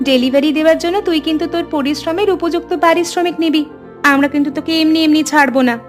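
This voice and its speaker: A woman's voice: high-pitched, fairly even intonation, racing, soft background music, lightly acted. A woman voicing a friendly, enterprising village housewife, coaxing and familiar, in cartoon dialogue. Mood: appreciative. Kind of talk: animated story dialogue.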